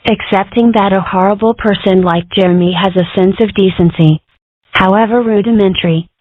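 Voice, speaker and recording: A woman's voice: medium pitch, no echo, close to an everyday voice. Woman as opinionated cartoon kid, earnest, talkative, philosophical, close dry sound, narrow muffled band. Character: opinionated, philosophical ten-year-old cartoon girl. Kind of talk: comic strip dub. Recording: muffled band-limited audio.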